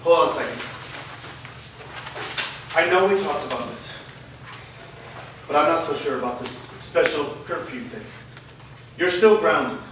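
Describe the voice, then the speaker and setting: Higher-pitched man acting a strict reticent father, wary, staged reading, far from the mic. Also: measured pace, long pauses, lightly acted. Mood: gruff, doubtful. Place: live reading in a reverberant room. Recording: echoey noisy room, distant microphone.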